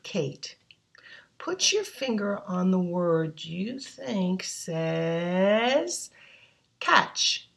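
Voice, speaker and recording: Woman teacher voice-over, unhurried and encouraging, stretches her words. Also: medium pitch, creaky. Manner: drawn-out words, praises often, thinking-aloud hums. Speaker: English reading teacher. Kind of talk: slow, encouraging worksheet walkthrough.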